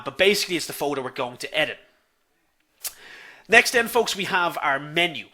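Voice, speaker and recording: A man with a Dublin accent runs a live online lesson, speaking in a slightly raised, bright, forward voice. He is chatty and easygoing, addressing his viewers as folks and answering their comments as they come in. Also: fairly high pitch. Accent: Dublin Irish. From Ireland. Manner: chatty, says "folks" often, reads viewer comments aloud. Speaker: online instructor.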